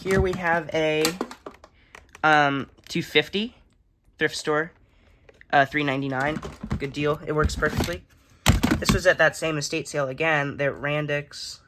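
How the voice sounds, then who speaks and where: A young man giving a casual, unscripted show-and-tell, halting and matter-of-fact as he lists items. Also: on the high side, fairly even intonation. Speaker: hobbyist collector giving a tour. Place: indoors in a room, handling plastic bins. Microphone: handheld phone camera. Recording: noisy handheld recording with clattering.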